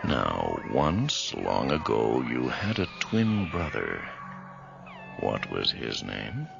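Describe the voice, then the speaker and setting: A man's voice: a bass voice, very animated, takes it slowly, gravelly, music in the background, exaggerated voice. An actor playing a stern middle-aged doctor in a radio horror drama, insistent and authoritative with his patient. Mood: probing, firm. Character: stern, commanding doctor treating a possessed patient. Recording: muffled, noisy old radio recording.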